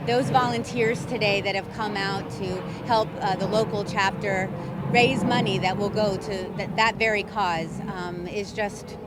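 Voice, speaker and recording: Loud outdoor noise and traffic surround a woman giving an interview. Her voice is mid-pitched and she speaks haltingly, as a charity representative. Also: steady tone. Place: outdoors at a public event.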